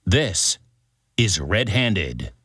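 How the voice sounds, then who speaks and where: Crime show host, wry storyteller, unhurried, very animated intonation, dramatic pauses, scripted narration. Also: male voice, sits low, husky, no room sound, studio quality, heavily acted. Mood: suspenseful. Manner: dramatic, deliberate short sentences with suspenseful pauses. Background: music.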